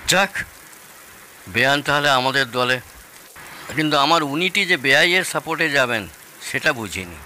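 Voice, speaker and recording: An actor playing a proud, excitable host in his sixties in an audio drama, theatrical, boastful and needling. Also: mid-range voice, moderate pace, pauses often, slight background noise, rough recording. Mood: indignant, boastful. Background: rain.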